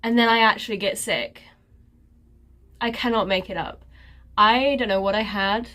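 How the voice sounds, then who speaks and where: A woman's voice: mid-range voice, fairly even intonation, normal speed, bright, forceful tone. A woman vlogger giving a casual life update, her nose stuffy and congested, rambling and sarcastic about herself. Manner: sarcastic, self-mocking, rambling with many fillers.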